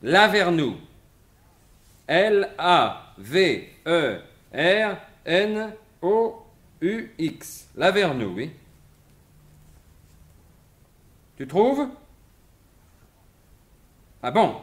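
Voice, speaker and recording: An actor playing a sly, self-assured gentleman thief turned sleuth in an animated 1950s-style French radio mystery. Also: high-pitched, extremely slow, long pauses, full character voice. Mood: brisk, eager.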